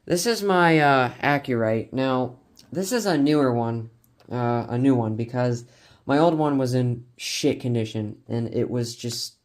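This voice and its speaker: A man talks casually through a collection like a hobby vlogger. He is enthusiastic and rambling, often doubling back and stumbling over his words. His voice sits at an ordinary middle pitch. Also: unhurried. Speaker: collector showing weather radios and scanners. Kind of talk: casual collection showcase vlog.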